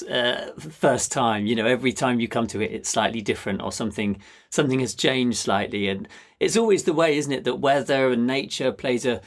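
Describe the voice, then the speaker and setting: A man hosting a conversational interview, musing as he works his way toward questions. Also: mid-range voice. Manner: musing, tentative phrasing with tag questions. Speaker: podcast host.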